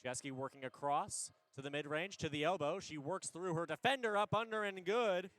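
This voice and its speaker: A man giving live radio play-by-play of a basketball game in an energetic, descriptive way, lifting his voice on big plays. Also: fairly high pitch, fairly quick. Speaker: play-by-play radio sports announcer.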